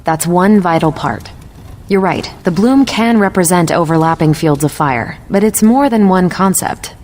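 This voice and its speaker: A woman's voice: on the low side, moderate pace, a little mellow, a little hiss or hum, close to an everyday voice. An actress playing a confident military superhero woman in a video game, explaining things in an assured, lightly teasing way. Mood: instructive. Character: confident adult superhero woman, sharp patient military mentor.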